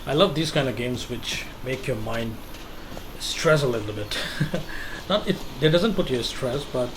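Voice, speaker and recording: A man's voice: mid-range voice, animated, a little slow, background noise. A man hosting a casual game walkthrough, guiding the viewer step by step and often repeating himself. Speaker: gameplay walkthrough host.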